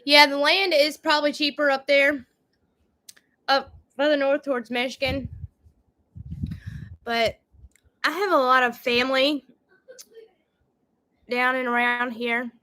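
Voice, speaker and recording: Lady in her 30s, high bright voice, chatty and easygoing q&a with viewers. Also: steady tone, unhurried, long pauses. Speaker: co-host of a family homestead channel. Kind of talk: casual live Q&A chat.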